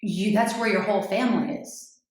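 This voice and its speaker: A woman hosting a casual interview, answering her guest with short reactions and quick remarks. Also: mid-range voice. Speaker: host. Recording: very echoey, from further off.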